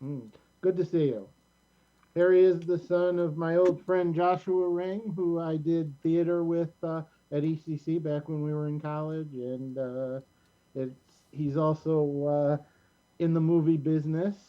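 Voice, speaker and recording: A middle-aged American man hosting a casual show in a rambling, halting way. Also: on the high side, steady tone, muffled recording. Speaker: host of a community show.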